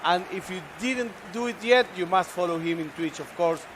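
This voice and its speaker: A man's voice: high voice, background noise. Enthusiastic Argentine man commentating esports. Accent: Argentine Spanish. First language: Spanish. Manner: enthusiastic, halting English with restarts.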